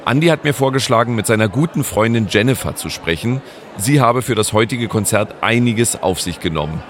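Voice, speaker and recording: A man narrating his own podcast episode in a calm, scripted storytelling manner. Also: medium pitch, brisk, a little hiss or hum.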